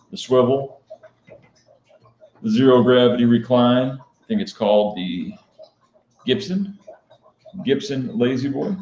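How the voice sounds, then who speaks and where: A man chatting casually as a live-stream host, answering viewers in a monotone, flat and matter-of-fact manner. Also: medium pitch. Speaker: professional poker player and vlogger hosting a live stream. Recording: dull, lo-fi sound in echoey room.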